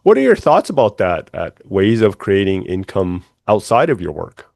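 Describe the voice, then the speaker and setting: Low-voiced male interviewer, dry sound. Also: very animated, normal speed, creaky, a little mellow, dry recording. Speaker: interview host. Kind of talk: conversational interviewing.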